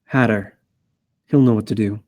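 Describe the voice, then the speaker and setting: A man reading a grave, protective male character at a screenplay table read, measured and understated. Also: lowish voice, darkish tone, close to an everyday voice. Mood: resolute. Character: grave, protective male Rabbit, steady and reassuring.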